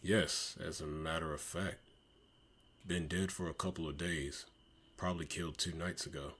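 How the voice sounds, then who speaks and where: A man playing a blunt, businesslike small-town police investigator in a comic dub, husky and matter-of-fact. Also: extremely low pitch, steady tone, moderate pace, fairly bright tone, full character voice.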